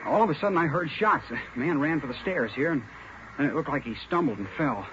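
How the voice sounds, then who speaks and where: An actor playing an earnest, polite young navy sailor as a hesitant eyewitness in 1940s radio drama style. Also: male voice, a little high, lively intonation, fast talker, natural voice. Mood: earnest, shaken. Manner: hesitant yet eager, polite. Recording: muffled, noisy 1940s radio broadcast.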